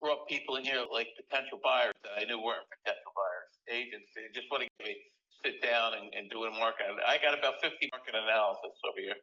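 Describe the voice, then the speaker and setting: A fast-talking man in his sixties, chatting and rambling as he weighs an offer. His voice is bright and forceful, heard over muffled, lo-fi phone audio. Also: mid-range voice. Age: 60s. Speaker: home seller on a phone call. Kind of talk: casual phone conversation.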